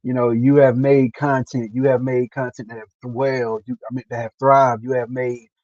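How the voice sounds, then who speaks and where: A man hosting a casual interview over a video call, earnest and halting, often repeating himself. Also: medium pitch, level tone, darkish tone, dry recording. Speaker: host interviewer. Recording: dull lo-fi stream audio.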